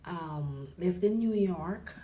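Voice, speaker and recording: A middle-aged woman from Guyana with a deep, low voice talks slowly and thoughtfully, often stopping with "um" as she reflects. A faint hiss sits under the recording. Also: expressive. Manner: soft-spoken, reflective, halting with fillers. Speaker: nurse, interview guest. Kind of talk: personal interview.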